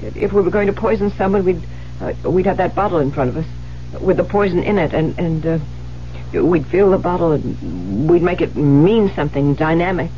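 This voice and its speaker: Radio drama: actress as anguished widowed woman writer, guilty, halting and pleading, very animated, dull old broadcast sound. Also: close to an everyday voice. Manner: stammering, pleading, highly emotional. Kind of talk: 1970s radio drama acting. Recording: muffled, noisy vintage radio broadcast.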